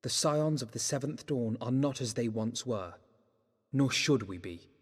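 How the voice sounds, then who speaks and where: An actor playing an earnest, eloquent young nobleman in a game, with a formal, measured British delivery. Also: medium pitch, lively intonation, breathy edge. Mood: earnest, resolute. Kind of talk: formal scripted game dialogue. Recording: long hall reverb.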